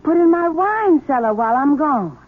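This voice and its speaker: An actress playing a proud, stubborn wife in a 1970s radio drama, curt and prickly. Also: female voice, high voice, very animated, moderate pace. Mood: chatty. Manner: curt and prickly, easily dazzled. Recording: lo-fi phone-like old radio broadcast.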